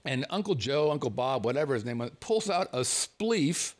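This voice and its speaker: A man from the United States, a show host, telling a personal anecdote in a casual, animated way and chuckling as he goes. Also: mid-range voice, moderate pace. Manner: animated storyteller, chuckles through his words.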